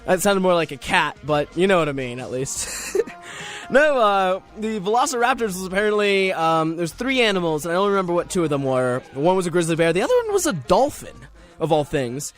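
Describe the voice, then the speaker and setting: A young male radio host with a distinctly high voice talks casually on his own. He rambles along in a jokey, self-mocking way, with music playing under him. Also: very animated, fairly quick. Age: young adult. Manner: rambling, self-deprecating, jokey, often chuckling. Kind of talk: casual solo radio chat.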